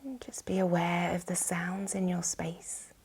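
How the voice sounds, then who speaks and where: A woman with a soft, breathy, whispery voice, soothingly guiding a yoga nidra relaxation with gentle instructions. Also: fairly low pitch, level tone, a little slow. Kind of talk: guided relaxation meditation.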